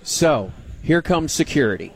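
A podcast host keeps the story moving with quick prompts and easy laughter. His voice is lively and swings widely, sitting a little high. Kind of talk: casual chat.

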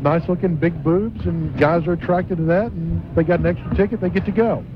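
A man's voice: on the high side, fairly even intonation, brisk. A man co-hosting a radio segment outdoors, making sarcastic, crude wisecracks. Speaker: radio co-host. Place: outdoors at a busy arena. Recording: dull lo-fi sound with crowd noise.

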